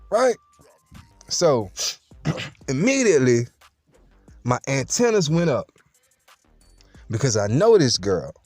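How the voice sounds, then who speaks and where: Animated storytelling man with street slang. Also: fairly high pitch, no echo. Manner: streetwise, playful, boastful slang, addresses listeners directly. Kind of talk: casual storytelling to viewers.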